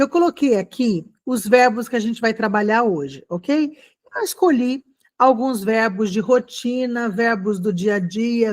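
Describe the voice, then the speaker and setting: Female online teacher, Brazilian accent, mid-range voice, chatty and encouraging. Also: medium pitch. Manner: animated, encouraging, chatty with frequent check-in questions. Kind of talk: conversational lesson addressed to students.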